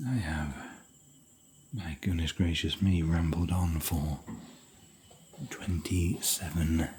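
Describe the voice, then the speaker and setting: A man whispering softly in a rambling, chatty, gentle way while hosting an ASMR hotel room tour. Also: extremely low pitch, a little hiss or hum. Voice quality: soft whisper.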